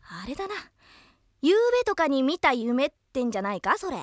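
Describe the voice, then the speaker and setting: A woman playing a brash, tomboyish young adventurer who speaks in rough, boyish casual language on an anime character radio show. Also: sits high, sing-song, a little fast, dry recording, clean studio sound. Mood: teasing, casual. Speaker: radio request show co-host.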